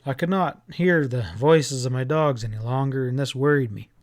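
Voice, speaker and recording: A man's voice: mid-range voice, normal speed, dry recording, clean studio sound. A man reading a scripted story as an audiobook narrator.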